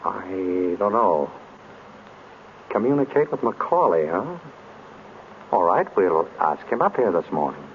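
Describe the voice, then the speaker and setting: An actor playing a suave, unflappable detective with a dry, wisecracking, nonchalant manner, in 1930s radio drama style. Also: male voice, sits low, big pitch swings, slow pace, long pauses, heavily acted. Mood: casual, dry. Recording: noisy muffled vintage radio recording.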